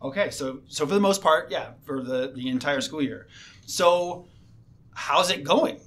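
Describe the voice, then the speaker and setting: Halting high-voiced male radio host. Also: a bit bright. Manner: halting, many fillers and restarts. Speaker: host, assistant principal. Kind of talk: casual radio interview. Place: school radio studio.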